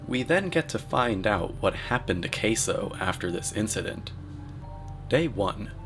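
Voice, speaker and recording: Guy doing deadpan video commentary, kinda deep voice, music playing behind him. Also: unhurried. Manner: deadpan, mock-serious. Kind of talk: scripted commentary narration. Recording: voice-over with music underneath.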